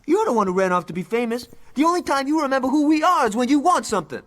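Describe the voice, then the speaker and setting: A man's voice: very high voice, sing-song, rapid-fire, a bit bright, heavily acted. A man playing a brash, sarcastic, hot-headed gangster in a video game, with cocky, combative street talk. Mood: angry, bickering.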